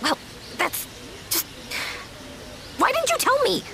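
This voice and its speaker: A woman's voice: very high voice, sing-song, slow pace, gravelly, heavy background noise, full character voice. An actress playing a candid, guarded young woman in a naturalistic audio drama, talking in a casual, direct way. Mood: confronting. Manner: frank, casual, conversational.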